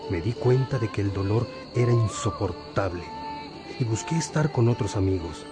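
A man's voice: lowish voice, moderate pace, over loud music, close to an everyday voice. An actor playing a wise, patient older priest who teaches his disciples reflectively in a religious radio drama. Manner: reflective, didactic.

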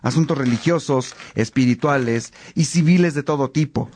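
A man playing a conscientious bishop and judge in a religious radio drama, sincere and deliberate. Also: mid-range voice, darkish tone, close to an everyday voice. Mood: thoughtful. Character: Alipio, conscientious lawyer-bishop, Augustine's devoted friend.

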